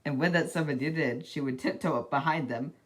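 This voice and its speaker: A person reading a children's storybook aloud in a deliberate, dramatic way, as an amateur narrator. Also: mid-range voice, noisy background.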